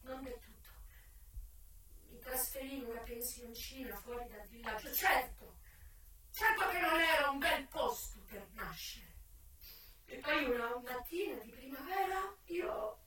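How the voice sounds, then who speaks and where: A woman's voice: high voice, a little slow, a little hiss or hum. An actress performing a dramatic stage monologue as a young American former prostitute and single mother, raw, defiant and emotional. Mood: bleak, hushed. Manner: theatrical, emotional, swinging from hushed to wailing. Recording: distant, very echoey stage recording with music. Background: music.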